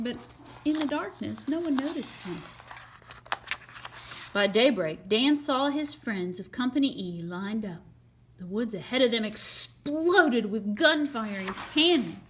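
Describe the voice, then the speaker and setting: High-pitched, animated woman reading a storybook. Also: measured pace, slight background noise. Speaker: read-aloud storyteller. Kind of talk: expressive children's picture book narration.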